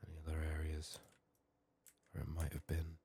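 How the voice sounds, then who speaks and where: Very deep, soft-spoken man, half whispering. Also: monotone, vocal fry, slightly rough. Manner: unhurried, musing to himself. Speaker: gaming streamer, ASMR host. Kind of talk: soft-spoken ASMR game commentary.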